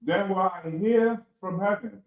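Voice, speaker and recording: A man taking part in a religious class as a reader, speaking in a halting, deferential way. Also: sits high. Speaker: class participant and scripture reader. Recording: echoey, dull, noisy room recording.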